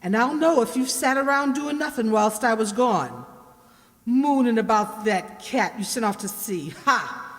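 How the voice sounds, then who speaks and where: Woman acting a cruel, high-voiced scolding cook. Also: animated, unhurried. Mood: scornful, bossy. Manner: scolding, threatening. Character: cruel bullying cook, sharp-tongued mistress of a servant boy. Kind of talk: children's radio play acting. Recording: hall-like reverberant stage sound.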